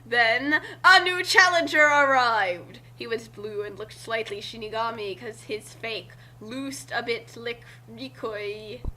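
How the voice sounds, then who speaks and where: High-pitched, bright-voiced woman, excitable and rambling. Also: takes it slowly, few pauses, a little hiss or hum. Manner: rambling, excitable, stumbling over words. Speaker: co-host reading fan fiction aloud. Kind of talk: casual chat and comedic read-aloud of fan fiction.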